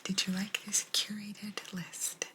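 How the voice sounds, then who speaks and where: Slow whispering woman giving an ASMR lesson. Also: mid-range voice, level tone, low-quality recording. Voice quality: soft, breathy whisper. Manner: slow, gentle repetition of words. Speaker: host teaching Italian vocabulary.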